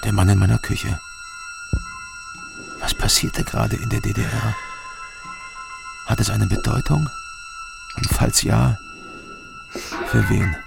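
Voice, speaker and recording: World-weary male investigator, very gravelly, lowish voice, laconic crime narration, radio drama, music underneath. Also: wildly swooping pitch, takes it slowly, pauses often, full character voice. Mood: brooding. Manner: laconic, clipped short phrases. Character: terse, world-weary male investigator recounting his case. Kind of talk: scripted first-person crime narration.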